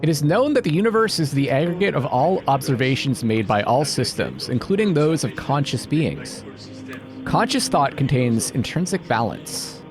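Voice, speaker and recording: Male game streamer, casual and pondering, animated intonation, background music clearly audible. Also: mid-range voice, normal speed. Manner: thinking aloud, puzzling things out. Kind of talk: casual let's-play commentary, reading game text aloud.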